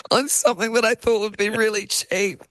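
Hoarse New radio host woman. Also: medium pitch, steady tone, brisk, fairly bright tone, dry recording, studio quality. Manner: chatty, laughs through her words. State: hoarse, losing her voice. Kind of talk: lively radio banter. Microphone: studio microphone.

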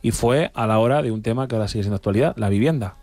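A man's voice: fairly low pitch, a little mellow, no echo. A man hosting a talk show, asking his guests curious follow-up questions. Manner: inquisitive, asks rapid follow-up questions. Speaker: host. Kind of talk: radio interview.

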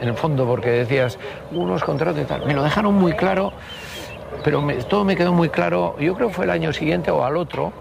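A gravelly-voiced man in his sixties with a Basque Country Spanish accent reminisces in an interview. He rambles colloquially and drops in little tag words as he goes. Clear city noise sits behind him outdoors. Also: fairly high pitch, a little fast. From Basque Country, Spain. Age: 60s. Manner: rambling, colloquial with frequent tags. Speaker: interview guest, party member. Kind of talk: casual reminiscing, telling anecdotes.

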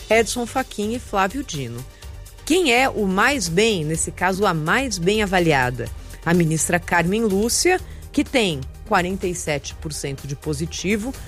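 A Brazilian woman commenting on the news on a radio show, emphatic and quick to laugh. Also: medium pitch. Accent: Brazilian Portuguese. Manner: emphatic, lively, laughs often. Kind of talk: opinion commentary.

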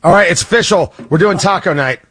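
A man's voice: high voice, a little fast, a bit bright. A man hosting a morning radio show, talking in a joking, teasing, chatty way. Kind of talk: casual morning radio banter.

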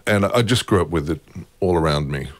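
A middle-aged man, a guest chatting in a relaxed interview with a wry, self-deprecating humour. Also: low voice, fast talker, dry recording. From born in England, raised in Germany and Australia. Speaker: guest, opera music head.

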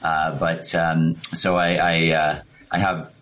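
A man's voice: rumbling low, little pitch movement, takes it slowly, fairly bright tone. A man in his forties from Canada, a guest in an interview, speaking hesitantly with self-deprecating asides and frequent restarts. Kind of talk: interview conversation.

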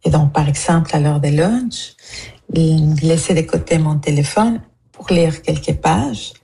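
A woman's voice: sits low, a little slow, creaky, dark tone. A woman from Mexico leaving an earnest, prepared personal message as a radio listener.

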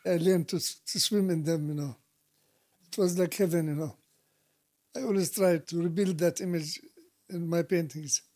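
A man's voice: on the high side, steady tone, normal speed, pauses often, a little mellow. A man in his seventies from the West Bank with a Palestinian Arabic accent, reminiscing and reflecting as an artist in an interview. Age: 70s. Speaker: painter being interviewed. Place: recorded at home.

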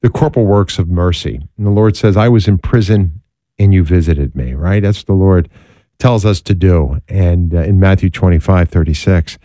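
A male talk show host with a low, mellow voice speaks earnestly in a close, dry studio. He talks casually with some fillers, then rises to a preacher-like emphasis on his key points. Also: normal speed, dry recording. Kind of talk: talk show monologue and interview.

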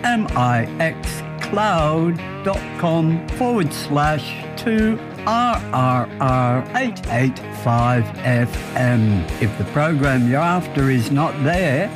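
An Australian woman reads a scripted station promo at a slow, measured pace. Plucked guitar music plays clearly behind her. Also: sits low, expressive. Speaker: station announcer.

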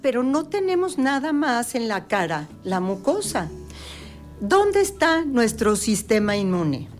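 A woman's voice: on the high side, very animated, measured pace, faint music behind. A woman on a talk show, patiently explaining health topics with everyday examples. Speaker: health guest expert.

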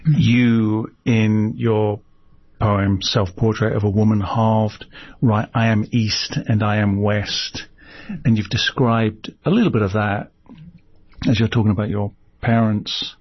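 A man interviewing a poet with measured, courteous questions. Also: fairly low pitch, steady tone, dry recording. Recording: dull, dry lo-fi sound.

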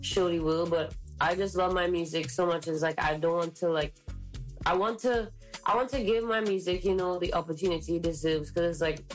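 A woman's voice: lowish voice, steady tone, brisk, soft background music. A young woman from the Caribbean, a singer, talking casually and confidently in an interview, full of "like" and "you know". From Trinidad and the Caribbean islands. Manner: bubbly, heavy use of "like", confident. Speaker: singer guest.

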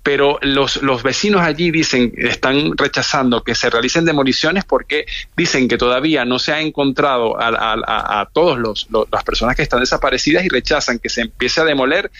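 A man with a Venezuelan accent giving an unscripted live news report, halting and repeating words as he searches for phrasing. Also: mid-range voice, sharp tone, no echo. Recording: dull, narrow-band remote audio link.

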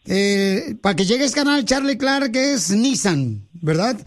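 A man's voice: high voice, expressive, slow pace. A man hosting a rowdy radio show, joking, teasing and laughing with slang. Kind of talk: rowdy morning radio banter.

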